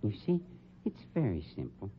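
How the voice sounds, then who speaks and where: An actor playing a suave, sardonic and sinister funeral home boss in a polished, domineering 1950s radio drama style. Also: male voice, lowish voice, wildly swooping pitch, normal speed. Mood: coolly scheming. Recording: muffled old radio recording with hiss.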